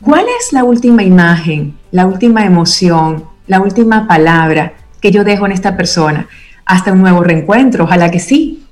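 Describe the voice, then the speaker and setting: A woman's voice: lowish voice, normal speed, a little mellow, faint music behind. A woman speaking as an interview guest, reflective and earnest in a conversational style. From Venezuela.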